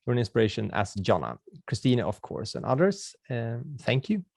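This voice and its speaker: A man's voice: mid-range voice, very animated, moderate pace, creaky, darkish tone, no echo, clean studio sound. A man from Sweden with a Swedish accent, hosting a webinar and chatting casually as he answers viewer questions, often restarting his sentences. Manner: friendly and chatty, with frequent restarts and filler words.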